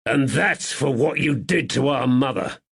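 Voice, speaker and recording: A man's voice: mid-range voice, unhurried, gravelly, husky, pitched above the performer's own voice. A man voicing an injured police officer who splutters in strained anger. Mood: angry.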